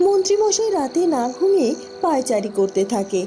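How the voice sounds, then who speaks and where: Casting: woman narrator for a cartoon tale, soft mellow tone, scripted read over music. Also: high-pitched, sing-song, a little fast. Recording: music behind the voice.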